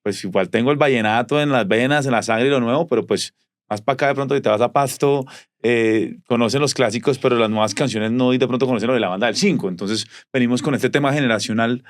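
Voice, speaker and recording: Male interview host, quick pace, dry studio-close sound, casual and winding in his questions. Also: mid-range voice, no echo.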